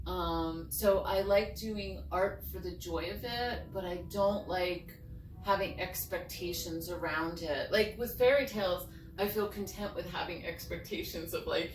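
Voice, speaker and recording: A woman speaks slowly and earnestly, with expressive, thoughtful pauses. She sounds like a storyteller opening up. The recording is echoey and a little distant from the mic, with some noise behind her. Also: medium pitch. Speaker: fairy tale writer and storyteller. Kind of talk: heartfelt personal talk, then storybook reading.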